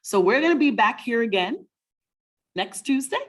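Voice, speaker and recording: A woman co-hosting a children's story webinar, chatting casually in a warm, encouraging, motivational way and often checking in with questions. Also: on the high side, very animated, moderate pace.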